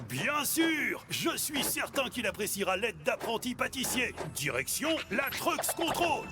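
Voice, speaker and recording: A kindly grandfather truck who commands missions in a children's cartoon. The voice is jovial and encouraging, with lively, announcing swoops. Loud music runs behind it. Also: medium pitch, unhurried.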